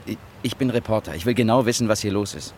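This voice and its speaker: An earnest reporter played by a man in a radio play, his voice fairly low. He questions hesitantly but insistently, with clear background noise around him. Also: fast talker, darkish tone, natural voice. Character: earnest, persistent male newspaper reporter, a war veteran. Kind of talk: naturalistic German radio drama acting.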